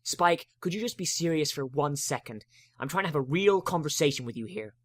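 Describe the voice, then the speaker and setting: A man's voice: fairly high pitch, animated, brisk, no room sound. A man acts out a bookish young unicorn mare from a fantasy story. The character talks earnestly and hesitantly, worried and doubting herself. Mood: frustrated.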